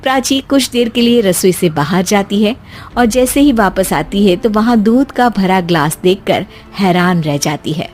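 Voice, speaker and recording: A woman's voice: medium pitch, expressive, moderate pace, darkish tone, soft background music. A woman narrator reading a scripted family cartoon story.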